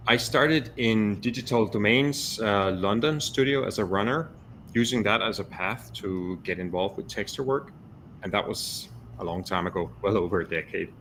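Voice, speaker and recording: Man from Denmark, lowish voice, confident, light hiss behind. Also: normal speed, fairly bright tone. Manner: fluent, confident, wry. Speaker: guest, texture artist, podcast host. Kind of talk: panel self-introduction. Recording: faint background noise.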